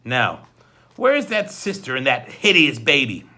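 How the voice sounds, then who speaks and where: Man acting a menacing, croaking storybook henchman. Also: medium pitch, huge pitch swings, a little slow, bright, forceful tone, full character voice. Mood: domineering. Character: menacing hook-handed henchman, sneering threatening man.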